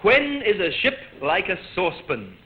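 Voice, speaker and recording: A man's voice: sits high, wildly swooping pitch, moderate pace. A man hosting a vintage radio quiz show with playful, teasing banter. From Australia. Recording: dull old radio recording, noisy studio audience.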